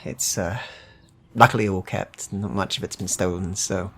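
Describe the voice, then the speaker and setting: Man playing a hesitant, creaky-voiced travelling merchant. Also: low-pitched, steady tone. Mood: cheerful. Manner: hesitant, halting, polite. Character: chatty, hesitant travelling male cloth merchant. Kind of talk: improvised tabletop roleplay.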